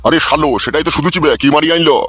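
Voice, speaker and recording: A man's voice: on the high side, fairly even intonation, very fast, fairly bright tone, dry recording. A man voicing a crude, bossy father-in-law in a comic cartoon, cursing and giving orders. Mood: irritated, cursing. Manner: gruffly cursing, commanding. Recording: dull, lo-fi sound.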